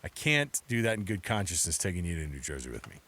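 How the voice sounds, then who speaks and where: A man's voice: sits low, sing-song, quick pace, sharp tone. A middle-aged American man hosting sports radio in a casual, chatty, rambling way from a studio mic. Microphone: close studio microphone.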